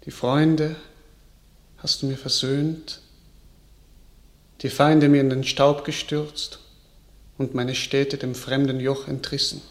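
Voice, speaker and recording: A man's voice: mid-range voice, flat delivery. A stately grateful king. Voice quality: stately, measured. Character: grateful, gracious young king. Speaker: actor in a radio play. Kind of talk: classic verse radio drama.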